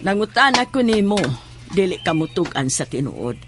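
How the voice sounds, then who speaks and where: A woman playing a stern mother in a horror audio drama, deliberate and insistent in her speech. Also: mid-range voice, big pitch swings, background noise, natural voice. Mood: stern probing. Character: stern, probing mother with occult leanings.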